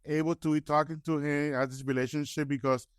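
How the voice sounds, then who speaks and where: A man's voice: a little high, little pitch movement, brisk, clear, resonant tone, no echo. Man asking rambling questions, dry sound. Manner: stumbling, rambling questions with false starts. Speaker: interviewer. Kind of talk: casual fan-like celebrity interview.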